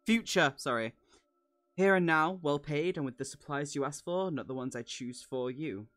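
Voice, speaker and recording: A man's voice: a little high, animated, moderate pace, a bit bright. Man reading as a frank, confident warrior woman. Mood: businesslike. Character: big, battle-worn female adventurer, frank and confident.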